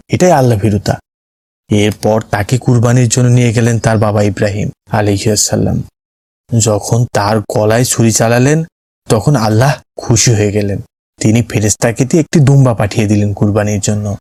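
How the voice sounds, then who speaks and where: A man's voice: fairly low pitch, moderate pace, dark tone, no room sound, clean studio sound, lightly acted. A man voicing a patient, devout father who explains and tells stories to his son in an Islamic cartoon. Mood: solemn storytelling. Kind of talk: Islamic cartoon dialogue, storytelling.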